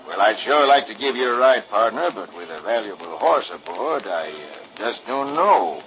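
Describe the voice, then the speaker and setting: A man's voice: fairly low pitch, expressive, moderate pace, fairly bright tone, lo-fi sound, voice pushed down. A man voicing a folksy grown cowboy truck driver with drawling slang, on an old radio recording. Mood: friendly.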